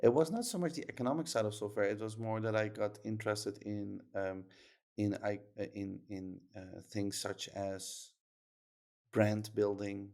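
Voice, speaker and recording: A man's voice: fairly low pitch, fairly even intonation, moderate pace, a little mellow. A Dutch-accented man from the Netherlands, a startup founder talking casually on a podcast, rambling with lots of fillers and restarts.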